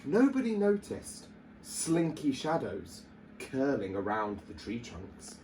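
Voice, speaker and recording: A man reads a children's story in a theatrical, emphatic way. His medium-pitched voice swings widely for drama and moves at an unhurried pace. There is faint noise behind him. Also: very animated, mellow, poor audio. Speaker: primary school teacher reading storytime. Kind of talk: expressive children's story reading.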